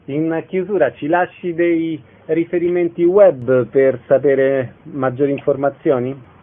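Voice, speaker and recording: A man's voice: fairly high pitch, level tone, measured pace, phone-quality sound. A man hosting a radio programme, asking questions and announcing events. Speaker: radio host, interviewer.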